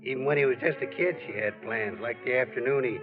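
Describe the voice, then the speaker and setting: An actor playing a plain-spoken, friendly sportswriter, narrating in a conversational, reminiscing way in a 1940s radio drama. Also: male voice, mid-range voice, quick pace, close to an everyday voice. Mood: reminiscing, fond. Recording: dull old radio recording, music behind.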